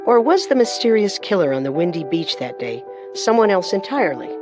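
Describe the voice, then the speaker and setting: A woman co-hosting and narrating a scripted true-crime show with measured, dramatic storytelling. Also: fairly low pitch, sing-song, over music. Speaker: podcast co-host and narrator.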